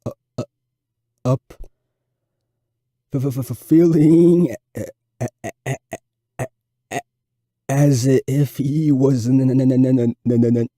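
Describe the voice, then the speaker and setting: A man's voice: mid-range voice, fairly even intonation, slow pace, long pauses, dark tone, dry recording, studio quality, faint music behind. A man voicing a nervous boy who stutters heavily. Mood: anxious, struggling. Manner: heavy stutter, repeating sounds and words, long blocks. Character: nervous fourth-grade boy with a severe stutter.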